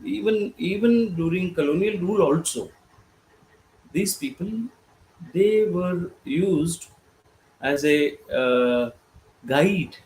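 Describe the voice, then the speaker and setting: An Indian man with a fairly high voice speaks on a panel, slowly and haltingly, with many fillers and restarts. His pitch rises and falls in a lively way. The room around him sounds echoey. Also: slight background noise. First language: Gorboli. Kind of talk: panel discussion talk.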